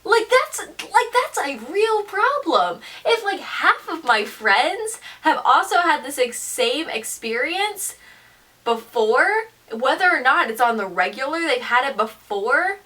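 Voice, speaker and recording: Teenage female vlogger, high-pitched, bright forceful tone, casual storytelling, emphatic and slangy, distant mic. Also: slow pace. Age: teens, seventeen. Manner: animated, emphatic, slangy, with mimicked asides.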